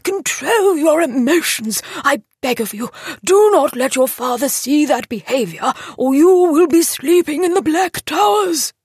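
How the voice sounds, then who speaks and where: A woman's voice: high-pitched, lively intonation, a little slow, slightly rough, no room sound, clean studio sound, pitched above the performer's own voice, heavily acted. A woman voicing a fussy, portly old male guardian in an audiobook, fretful and pompous. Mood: scolding. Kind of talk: audiobook character voice.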